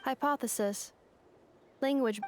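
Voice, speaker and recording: High-voiced, formal robot girl. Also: slow. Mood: matter-of-fact. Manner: clipped, formal, emotionless phrasing. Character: polite, literal-minded robot girl with combat modes. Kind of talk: anime-style video game voice acting. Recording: game music behind the voice.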